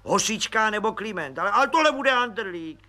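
A small red-haired police agent bursts in, high and eager, chattering in a slangy, overfamiliar way, as a man puts him on. His voice swings up and down with a bright, sharp edge. He sounds like a busybody from an old storybook. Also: lively intonation. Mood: eager, chatty. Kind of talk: audiobook character voice.

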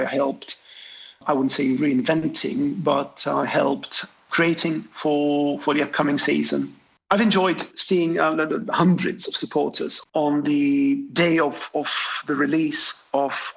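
Gravelly man, halting speech. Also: on the high side, fairly bright tone, highs cut off. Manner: hesitant, many fillers and restarts. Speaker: graphic designer, interview guest. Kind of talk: relaxed interview answers.

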